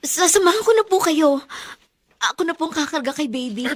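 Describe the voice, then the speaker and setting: A woman's voice: high voice, animated, normal speed. A woman acting a desperate young mother and estranged daughter in a melodramatic Filipino radio drama, tearful and pleading. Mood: anxious pleading. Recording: music bed under the voice.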